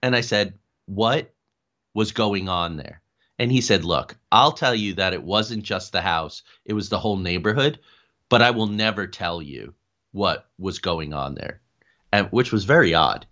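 Man, low pitch, conversational storyteller, interview guest, muffled lo-fi recording. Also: unhurried, pushed and bright. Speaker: guest, paranormal investigator. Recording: dull lo-fi call audio.

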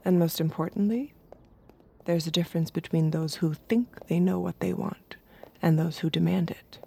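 A woman playing an obedient, observant android escort, speaking in a measured, intimate way in an audio drama. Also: lowish voice, steady tone, vocal fry, mellow, breathy edge. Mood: detached, wistful. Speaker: narrator and lead character.

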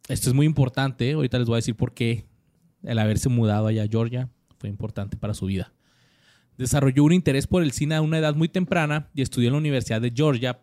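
A man's voice: medium pitch, a little mellow, no echo, studio quality. A man co-hosting a podcast, reading out actor bios with lots of slang and jokes. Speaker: podcast co-host. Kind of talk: casual podcast chat.

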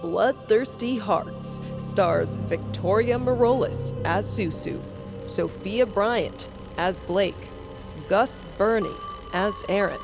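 Woman reading show credits, slow and measured, music playing behind her. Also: mid-range voice, vocal fry. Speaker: credits announcer. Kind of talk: scripted credits narration.

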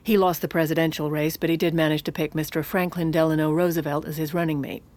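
A woman's voice: low voice, level tone, fast, a little mellow, lightly acted. An actress playing a proud, sardonic aging former First Lady in an audio drama, wry and haughty with formal wording. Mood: wry, reflective. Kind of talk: audio fiction drama, first-person memoir narration and dialogue.

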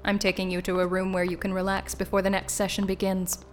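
A woman's voice: mid-range voice, completely flat delivery, fast talker, faint music behind, natural voice. A woman acting in an audio drama as a detached, procedural attendant with clipped, formulaic politeness. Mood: detached, patient. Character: detached, procedural female attendant, evasive and unbothered.

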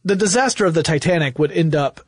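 A man's voice: fairly high pitch, expressive, fast talker, breathy edge, dry recording, studio quality. A man hosting a podcast, explaining technology history in conversation with enthusiasm and hypothetical examples. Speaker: podcast host.

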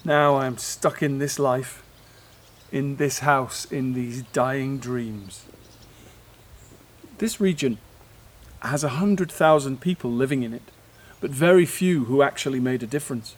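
A man's voice: mid-range voice, unhurried, pauses often, natural voice. An actor playing a disillusioned middle-aged father, lamenting earnestly in a naturalistic audio play. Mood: despairing. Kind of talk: naturalistic audio drama monologue.

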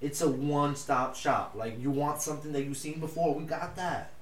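Young male co-host, Spanish first language, loose slangy delivery, a touch high, roomy echo. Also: moderate pace. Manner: animated, slangy, swears freely. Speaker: podcast co-host. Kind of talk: casual chat. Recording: echoey room with faint noise.

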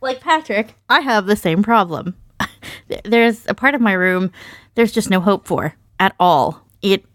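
A woman's voice: medium pitch, lively intonation, unhurried, fairly bright tone, no echo. A woman chatting casually as a podcast panelist, joking and self-deprecating, recorded close in a small treated spot. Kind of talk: casual chat. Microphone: mic inside foam-lined box.

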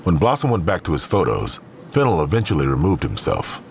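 A man's voice: a bass voice, huge pitch swings, brisk, creaky, a little hiss or hum. A man narrating a scripted crime audio drama in the third person.

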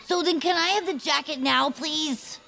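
A woman playing an eccentric, excitable small inventor creature with a creaky voice and theatrical, self-important phrasing, in a cartoonish audio drama. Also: high-pitched, normal speed, full character voice. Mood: pleading. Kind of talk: cartoonish audio fiction acting.

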